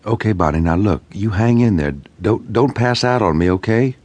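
A man's voice: lowish voice, expressive, moderate pace, a little mellow, dry recording, pitched above the performer's own voice. A man voicing a young female police dispatcher, pressing questions urgently over the line in a true-crime audiobook.

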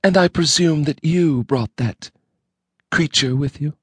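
Imperious wife voiced by a male reader: cold, precise, measured, quietly cutting. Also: a little high, slightly rough, no room sound, pitched above the performer's own voice. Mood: icy. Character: icy, imperious wife, woman, coldly controlled. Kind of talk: audiobook character voice.

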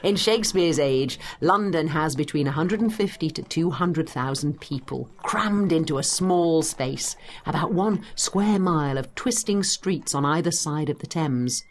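An actress playing a knowledgeable, brisk woman guiding a listener through history in a dramatised educational audio guide, with an explanatory, teacherly manner. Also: sits low, expressive, slight background noise. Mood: informative, brisk.

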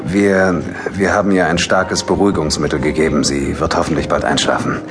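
An actor playing a grave, placating hospital doctor who speaks haltingly in an audio drama. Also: male voice, rumbling low, fairly even intonation. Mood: grave, regretful. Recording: music behind the voice.

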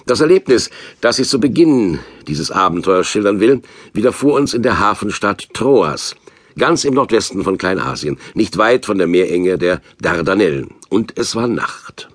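A man playing a physician who narrates Bible adventures in a measured, reflective way for a children's audio drama. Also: fairly low pitch, animated, dry recording.

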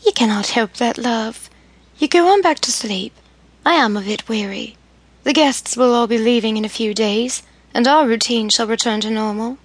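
A woman voicing Amelia, a gentle, excitable older sister, in a bright, lively, higher voice while reading a story. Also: normal speed, slightly rough, no echo.

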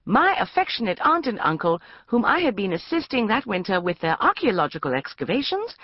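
A woman's voice: fairly high pitch, no room sound, lo-fi sound, pitched above the performer's own voice. A woman voicing a bold young woman reading an adventure tale aloud with heightened drama.

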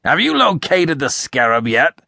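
A man's voice: medium pitch, lively intonation, quick pace, fairly bright tone, dry recording, studio quality. A man reading a storybook, voicing an irascible, sarcastic, blustering older rich American man who hectors explosively. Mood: irritable, demanding. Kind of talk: audiobook character acting.

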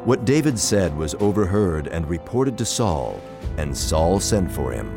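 Male narrator, very low voice, animated delivery, scripted scripture storytelling, music behind. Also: measured pace. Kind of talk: dramatized scripted Bible narration.

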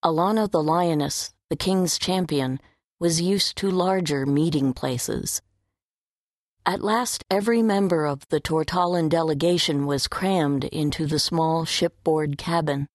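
A woman's voice: low-pitched, measured pace, no echo, studio quality. A woman reading scripted story narration for an audiobook.

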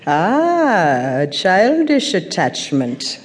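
An actress playing a haughty, sardonic middle-aged African mother of a dictator in an audio drama, speaking in measured, cutting phrases. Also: fairly low pitch, wildly swooping pitch, a little hiss or hum, heavily acted. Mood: wry disdain. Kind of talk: audio drama stage acting. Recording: reverberant theatre-like hall.

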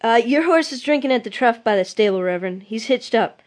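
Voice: mid-range voice, animated, brisk, natural voice. A performer playing a polite, eager young ranch boy with earnest folksy talk in a western radio drama. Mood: eager, friendly.

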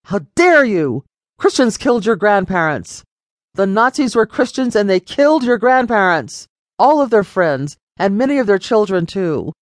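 A woman's voice: fairly low pitch, lively intonation, moderate pace, no room sound, clean studio sound, close to an everyday voice. A woman voicing an angry wealthy father, raised and blustering with threats. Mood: furious.

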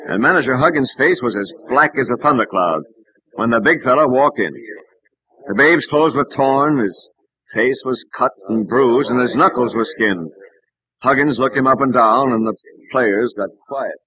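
An actor playing a loyal, anxious American sportswriter, earnestly narrating and acting in an old-time radio drama. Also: male voice, mid-range voice, moderate pace, slightly rough, dry recording, natural voice. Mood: somber narrating. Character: loyal, worried male sportswriter friend, narrating. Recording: dull, dry old radio recording.

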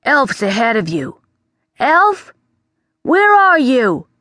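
A woman voicing a streetwise male talking bat with a brisk, slangy way of talking. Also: mid-range voice, sing-song, no room sound, studio quality, voice pushed up.

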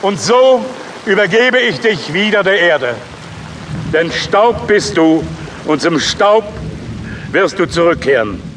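An actor playing a solemn pastor giving a measured, ceremonial funeral address in a German audio drama. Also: male voice, high-pitched, sing-song, a bit bright, a little hiss or hum, full character voice. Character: solemn male pastor at a graveside burial. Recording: rain, thunder and music behind.

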